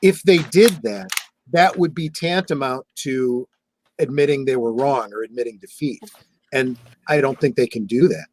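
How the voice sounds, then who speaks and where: A man talking in a casual fan panel discussion, earnest and measured, often restarting his sentences. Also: mid-range voice, a little mellow, slight background noise.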